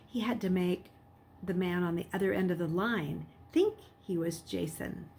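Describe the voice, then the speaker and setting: A woman giving an expressive audiobook narration, reading a suspenseful story aloud as its narrator. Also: fairly low pitch, moderate pace, gravelly, slight background noise.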